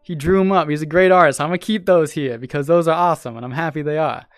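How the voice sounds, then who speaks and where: A man's voice: a little high, fast, no room sound. A man hosting a casual livestream, chatting with viewers and thanking new followers. He laughs often, repeats himself, and has a voice he says is made for radio and sales. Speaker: streamer and podcast host. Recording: dry close mic with faint music behind.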